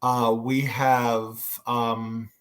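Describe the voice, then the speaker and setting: A man's voice: mid-range voice, flat delivery, extremely slow, fairly bright tone. A man talking casually and effusively as a panelist on an online fan panel, rambling as he goes, on a headset. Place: indoors, online panel call. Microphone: headset on a video call.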